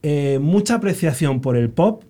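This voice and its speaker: A host interviews a musician in easy conversation. The questions break off and start again as the thoughts come. The voice sits at a middling pitch. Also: lively intonation, measured pace, warm tone. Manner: conversational, trailing off and restarting questions.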